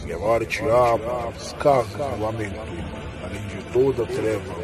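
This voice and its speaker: A cold, scornful lord of the undead, acted by a man for a fantasy audio drama. He speaks slowly and with menace, in archaic phrasing, over prominent music. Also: lowish voice, steady tone, natural voice. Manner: slow, archaic, threatening speech. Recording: voice under loud music.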